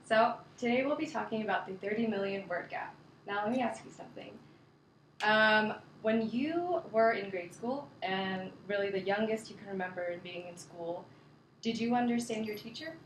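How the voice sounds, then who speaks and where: A young woman delivers a class speech at a middle pitch, earnest and a little scripted, with casual fillers slipping in. Her voice rings in an echoey classroom over a faint background hum. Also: moderate pace. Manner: earnest, reads prepared points with casual fillers. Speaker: student giving a class speech.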